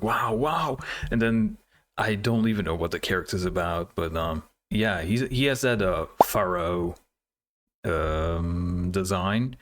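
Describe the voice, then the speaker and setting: A man's voice: on the low side, a bit bright. A man hosting a reaction video, commenting casually and thinking aloud in an informal, easily amazed way.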